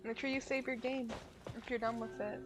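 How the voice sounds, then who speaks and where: Higher-voiced woman streamer over loud music. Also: flat delivery, a little fast. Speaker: game streamer. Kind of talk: casual chat during a live stream. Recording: home stream with loud game music behind. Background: loud game music.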